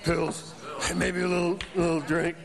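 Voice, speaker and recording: A pitiful, sick old male drunk with a high, creaky voice. He begs in halting, repeated fragments, in radio drama style, ringing in a reverberant hall. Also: flat delivery, crowd noise. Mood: pitiful, begging. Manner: halting, pitiful, mumbling repetition. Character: broken, sick old drunken beggar man. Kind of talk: live radio drama acting. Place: theatre stage.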